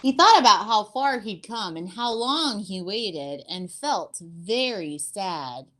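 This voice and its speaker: Woman reading a storybook with lively intonation. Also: medium pitch, slight background noise. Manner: expressive, deliberate storytelling delivery. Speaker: teacher reading aloud to children. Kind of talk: storybook read-aloud.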